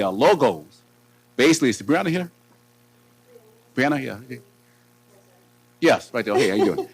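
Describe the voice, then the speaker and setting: A man's voice: mid-range voice, low-quality recording. A man, a school district leader, introducing a presentation in loose, rambling remarks at a board meeting. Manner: loose and rambling, many fillers and restarts. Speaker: school superintendent. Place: meeting room.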